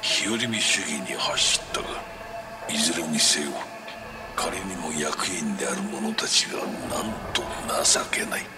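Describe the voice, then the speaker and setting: Very deep, imperious old Japanese patriarch. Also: male voice, fairly quick. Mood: scornful. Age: older. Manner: cold, scornful, commanding. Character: stern, imperious old patriarch of a corporate empire. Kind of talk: video game cutscene acting. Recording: loud music behind the voice.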